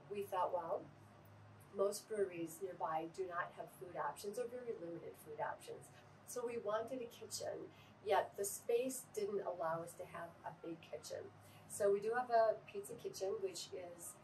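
A woman's voice: medium pitch, a little mellow. A middle-aged woman, a brewery owner, talking easily on camera with plenty of fillers in an indoor taproom. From Minnesota. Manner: upbeat, easygoing, with frequent fillers. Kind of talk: promotional on-camera talk. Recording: slightly distant camera mic, faint room noise.

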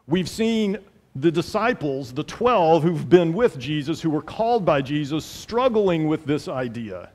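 Middle-aged guy preaching at a pulpit, bit high voice, earnest and emphatic, headset mic, echoey church hall. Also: sing-song, unhurried, darkish tone. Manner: earnest, emphatic, repeats phrases for effect. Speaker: pastor. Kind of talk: sermon. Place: large church sanctuary, at a pulpit. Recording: long hall reverb.

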